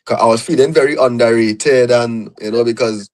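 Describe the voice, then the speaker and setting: A man's voice: mid-range voice, moderate pace, a little mellow. A young man with a Trinidadian accent, a podcast guest reflecting on his career in a casual interview, often adding "you know".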